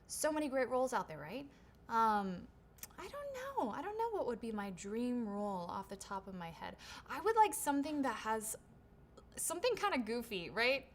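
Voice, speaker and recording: A woman's voice: on the high side, big pitch swings, normal speed, slight background noise. A woman voice actor hosting her own livestream Q&A. She reads viewer questions aloud and answers in a chatty, upbeat way, with lots of "right?" and "um". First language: English. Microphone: shotgun studio microphone.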